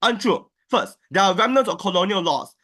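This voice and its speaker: Brisk young man giving a debate speech. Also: sits high, expressive, sharp tone. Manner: fast, structured argument with quick rebuttals. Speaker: debate speaker. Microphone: online call, own mic.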